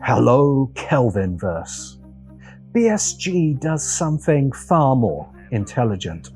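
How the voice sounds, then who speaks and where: A man narrating a scripted video essay as host, in a deliberate, wry, opinionated manner with dramatic pauses and emphasis. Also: medium pitch, big pitch swings, darkish tone, soft background music.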